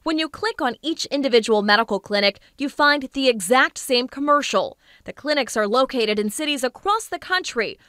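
A woman in her thirties reads an investigative news script with a measured, clear delivery. Her voice sits a little high and sounds bright and crisp. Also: expressive, no room sound, studio quality. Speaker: investigative reporter.

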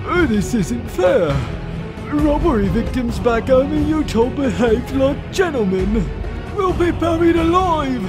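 A dim, whiny outlaw sidekick of the Wild West, very high in pitch. He drags out his cowboy talk with lively swoops, as in a cartoon. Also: unhurried, music in the background. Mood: aggrieved. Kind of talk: cartoon comic dub acting.

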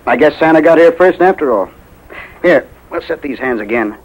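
A man's voice: fairly high pitch, animated, normal speed, poor audio. An actor playing a friendly, teasing neighbor man with an easygoing, wry way in a 1950s radio drama. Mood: hurried.

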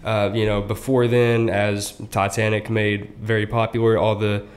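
A man's voice: fairly low pitch, little pitch movement. Easygoing young man explaining casually. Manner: conversational, many fillers, quick asides. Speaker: podcast co-host.

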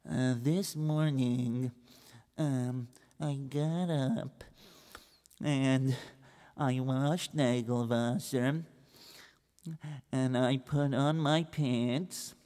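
A man plays a dazed, bewitched man in a children's story, mumbling hesitantly and repeating himself. The voice is low and quiet and drags very slowly. A long hall reverb follows it. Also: steady tone. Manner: mumbling, slow, repetitive, hesitant.

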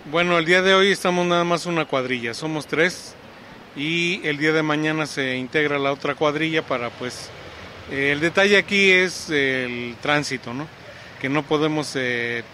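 A middle-aged Mexican man, a town official, answering questions in a halting way with many fillers and restarts, outdoors on a street. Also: medium pitch, bright, forceful tone, slight background noise. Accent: Mexican Spanish. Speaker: municipal energy-saving director. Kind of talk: on-street interview. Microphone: handheld reporter mic close up.

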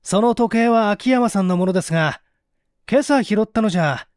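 A man's voice: high-pitched, normal speed, a little mellow, dry recording, clean studio sound, pitched above the performer's own voice, close to an everyday voice. A man playing a cool, politely needling young man in a mystery story reading, articulate and pointed.

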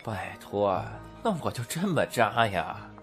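A man's voice: on the low side, very animated, slow, a bit bright, soft background music, exaggerated voice. An actor playing a lovesick, persistent and sheepish young businessman in an amateur audio drama. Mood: rueful.

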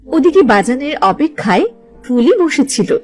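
A woman's voice: high-pitched, sing-song, moderate pace, darkish tone, soft background music. A woman reading scripted narration for a cartoon story.

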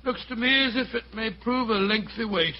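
An actor playing an earnest, kindly, slightly bumbling English doctor in a radio drama, with a fussy, polite manner. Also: male voice, high voice, moderate pace. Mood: puzzled. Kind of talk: old-time radio drama acting. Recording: dull, hissy old radio recording.

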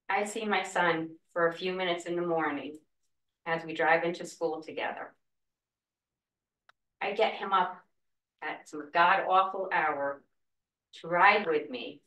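A woman's voice: on the low side, fairly bright tone, far from the mic. A woman, a school cafeteria worker, speaking earnestly at public comment during a school board meeting from a wooden podium. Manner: earnest, emotional plea. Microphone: podium mic, heard from a far camera. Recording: echoey room, voice heard from afar.

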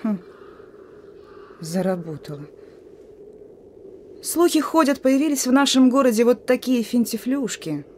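An actress playing a stern, suspicious young partisan sentry in a radio play, giving brusque, folksy orders. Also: female voice, on the high side, animated, a little slow, long pauses, dark tone, a little hiss or hum. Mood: suspicious, scornful. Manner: brusque, rustic colloquial commands. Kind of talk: Russian radio play acting. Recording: radio drama with music.

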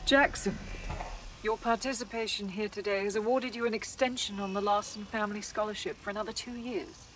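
An actress playing a commanding research official in a video game, formal, measured and authoritative. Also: female voice, mid-range voice, fairly even intonation, a bit bright, slight background noise, natural voice, low-quality recording. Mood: cool, businesslike. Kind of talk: video game cutscene acting.

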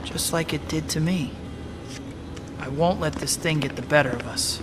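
A man's voice: on the high side, normal speed, background noise, close to an everyday voice. An actor playing a cocky, determined young male superhero in a video game, with a quippy, sardonic way of talking. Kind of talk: video game cutscene acting.

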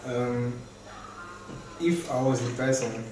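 A young man, a guest explaining his view in a measured, thoughtful way. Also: mid-range voice, over music. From Nigeria. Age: young adult. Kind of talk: casual group discussion. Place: living room, seated on a couch. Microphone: far camera. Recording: roomy echo from a distant mic.

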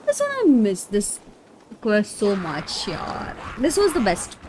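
A woman's voice: medium pitch, huge pitch swings, slow, a little mellow, a little hiss or hum. A woman with an Indian accent chatting casually on a game stream, repeating phrases and switching between Hindi and English. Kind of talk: casual livestream gaming chat. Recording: music playing behind the voice. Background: game music.

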